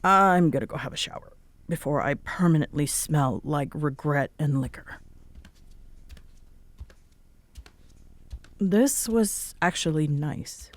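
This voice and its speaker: A woman acting a guarded, grudgingly warm and easily flustered young tsundere roommate in a roleplay audio drama, with halting, wry delivery. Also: deep voice, lively intonation, dry recording. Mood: tired, softened.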